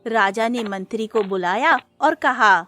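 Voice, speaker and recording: A woman narrates a scripted cartoon tale at a slow, measured pace. Her voice is fairly high, with music playing underneath. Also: a bit bright. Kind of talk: scripted children's-style story narration.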